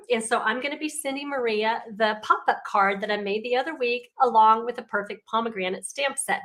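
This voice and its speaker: A woman, raspy and congested from illness, chatting casually as she demonstrates a card-making craft with frequent ums. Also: a little high, a bit bright.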